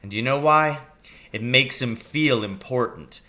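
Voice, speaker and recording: A man voicing a scheming, excitable father from a children's storybook, with a theatrical, intense delivery. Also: medium pitch, a little slow. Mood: earnest, scornful. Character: scheming, excitable father, conspiratorial poacher with a grudge. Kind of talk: children's audiobook character reading.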